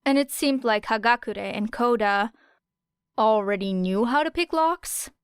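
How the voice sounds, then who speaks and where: A woman's voice: a little high, measured pace, clear, resonant tone, no room sound, clean studio sound. A woman reading fiction aloud as an audiobook narrator. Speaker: audiobook reader.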